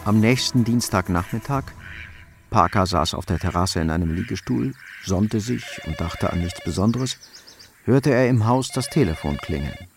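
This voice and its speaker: A calm narrator of a hard-boiled crime radio play, low in pitch and measured. The storytelling is matter-of-fact, with music clearly underneath. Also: male voice.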